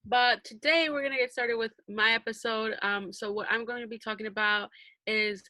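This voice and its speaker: A woman's voice: on the high side, fairly even intonation, moderate pace, bright, forceful tone, dry recording. A young woman from Arizona hosting a casual podcast chat on a video call, bubbly, laughing often and filling with um and like. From Arizona, USA. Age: young adult. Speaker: podcast host.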